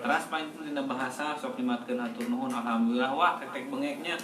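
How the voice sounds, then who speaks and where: A man's voice: mid-range voice, quick pace. A man with a West Javanese accent chatting casually and explaining things with examples in a jovial way, as a talk show host. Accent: Sundanese-speaking West Java. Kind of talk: casual explanatory group chat in Sundanese. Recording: echoey, distant mic, loud background noise.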